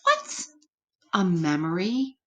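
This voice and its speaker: Woman voicing a curious little boy, lilting high. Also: huge pitch swings, slow, a bit bright, full character voice. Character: curious small boy asking questions.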